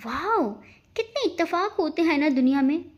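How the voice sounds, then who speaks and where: A pert, impulsive young woman from an audio storybook, high in pitch, played by a woman narrator. She is excitable and feigns innocence with cheek. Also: moderate pace, lo-fi sound. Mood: smug.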